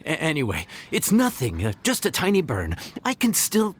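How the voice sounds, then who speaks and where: An actor playing a proud, stubborn inn chef in a video game, flustered and defensive, with hesitant stammers. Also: male voice, a little high, wildly swooping pitch, moderate pace, a little hiss or hum. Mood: embarrassed. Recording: music playing behind the voice.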